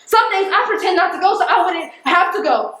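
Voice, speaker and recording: A woman's voice: very high-pitched, animated, fairly quick, a bit bright. An actress on stage playing a defiant, embittered working-class woman postal carrier from the projects, speaking in an emphatic, confrontational, colloquial way. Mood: hurt, bitter. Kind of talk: stage play acting. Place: theatre stage. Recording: very echoey stage recording.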